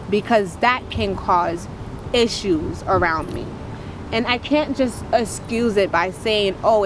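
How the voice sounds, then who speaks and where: A woman with a naturally strong, powerful voice gives an earnest, uplifting testimony in a repetitive, preachy cadence. Her pitch sits in the middle of the range. She is recorded outdoors by the water, and clear traffic and vehicle noise runs behind her. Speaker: motivational speaker and poet. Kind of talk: inspirational testimony to camera.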